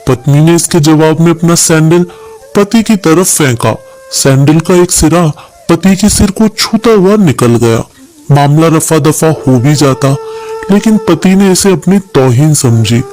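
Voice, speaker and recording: Guy telling a story, kinda high voice, measured n dramatic, soft music under it. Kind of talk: scripted story narration.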